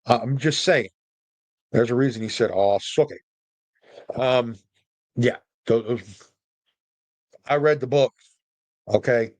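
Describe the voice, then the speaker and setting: A man's voice: mid-range voice, takes it slowly, long pauses, darkish tone, dry recording. A man giving casual solo commentary as a podcast host, informal and opinionated, with joking asides.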